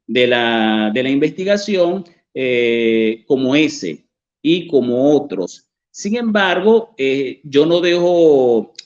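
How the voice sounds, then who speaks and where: A man's voice: mid-range voice, big pitch swings, slow pace, darkish tone, dull audio. A man with a Venezuelan accent, an author answering interview questions in a hesitant way with many fillers and restarts. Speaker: author and journalist, interview guest.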